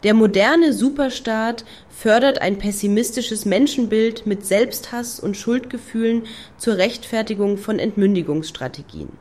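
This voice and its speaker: An actress playing a high-flown club activist in a radio play, grandiose and ironically lofty. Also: female voice, unhurried, hardly any pauses, darkish tone, close to an everyday voice. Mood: declamatory. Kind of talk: German radio play acting.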